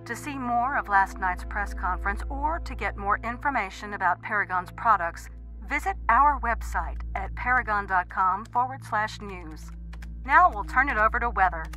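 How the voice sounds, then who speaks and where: A polished female TV news anchor in a radio drama. Her voice is bright and forward. She reads in a composed, sombre broadcast manner over music. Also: mid-range voice, lively intonation, moderate pace, rough recording.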